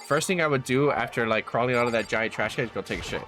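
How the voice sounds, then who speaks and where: A man's voice: mid-range voice, fast talker, a bit bright, soft background music. A congested man streaming a game, joking crudely, swearing a lot and reading game text aloud. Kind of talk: casual let's-play chat, reading game text aloud.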